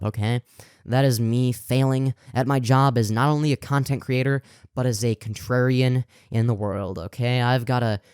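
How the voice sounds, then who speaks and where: A man's voice: mid-range voice, a little mellow, smooth, no room sound, clean studio sound. A young man co-hosting a podcast in casual chat, rambling and contrarian, swearing freely with lots of fillers and restarts. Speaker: podcast co-host.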